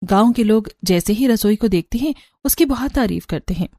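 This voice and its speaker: A woman's voice: medium pitch, expressive, brisk, mellow, dry recording. A woman narrator reading a scripted story for a cartoon.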